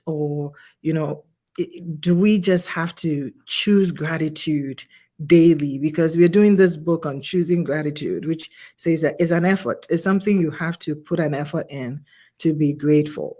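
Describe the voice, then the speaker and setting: A woman's voice: low voice, mellow. A woman hosting an online interview, greeting viewers and asking long, searching questions. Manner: welcoming, poses long questions. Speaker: host. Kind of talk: hosted interview.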